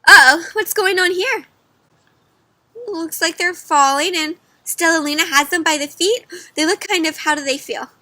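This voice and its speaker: A woman reading a picture book aloud to children, expressively, pausing to ask the listeners questions. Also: very high-pitched, sharp tone, dry recording. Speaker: read-aloud storyteller for children.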